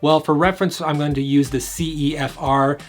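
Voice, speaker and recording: A man's voice: fairly high pitch, steady tone, a little fast. A man talking casually and enthusiastically to camera about his language-learning plans. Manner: enthusiastic, upbeat, occasional fillers. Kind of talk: casual explanatory vlog to camera. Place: home in Asheville, North Carolina.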